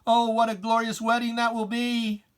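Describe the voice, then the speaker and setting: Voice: medium pitch, monotone, moderate pace. A person giving a dramatic reading of religious verse in a solemn, declamatory and emphatic manner with archaic diction. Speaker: poetry reciter.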